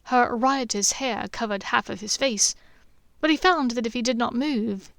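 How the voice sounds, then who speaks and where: Soft-spoken woman reading a story. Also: fairly high pitch, moderate pace, gravelly, no room sound. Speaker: podfic narrator and author. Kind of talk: audiobook fan-fiction reading.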